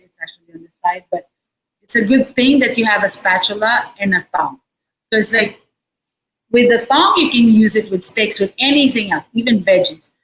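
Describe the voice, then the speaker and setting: A woman chef with a Filipino accent giving a casual cooking demonstration in an informal, halting, explanatory way. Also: medium pitch, fairly bright tone, low-quality recording. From Philippines. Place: indoor restaurant space behind a counter. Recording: noticeable background noise and room ambience.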